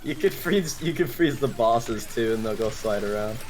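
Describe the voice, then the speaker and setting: A man's voice: medium pitch, animated, normal speed, noisy background. A man in his twenties, casually commentating on a video game run and explaining tricks with plenty of fillers. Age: 20s. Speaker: speedrun commentator.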